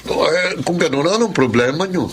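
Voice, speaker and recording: A man's voice: a little high, expressive, brisk, background noise, lightly acted. An actor playing a firm but concerned middle-aged father in a radio drama. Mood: curious, concerned.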